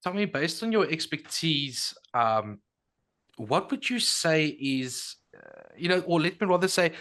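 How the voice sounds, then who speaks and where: A man's voice: a little high, big pitch swings, unhurried, fairly bright tone. A man hosting a podcast interview, polite and conversational, often hesitating and rephrasing as he puts his questions. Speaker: podcast co-host.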